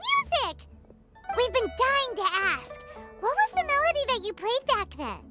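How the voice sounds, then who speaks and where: A woman voicing a tiny, excitable, childlike fairy companion in a video game, squeaky, bubbly and eager. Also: moderate pace, faint music behind, full character voice. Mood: excited.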